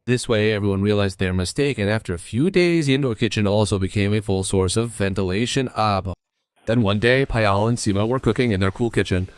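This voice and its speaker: A man with a fairly low voice narrates a cartoon family tale. The recording is close and dry, and he tells it as a scripted story. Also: fairly quick, no echo.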